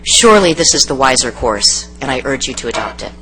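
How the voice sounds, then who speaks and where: A woman giving a prepared, formal public comment in a measured, articulate and firm manner. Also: rumbling low, lively intonation, sharp tone, slight background noise, poor audio. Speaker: advocacy group leader giving public comment. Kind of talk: prepared formal speech read aloud. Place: council meeting chamber.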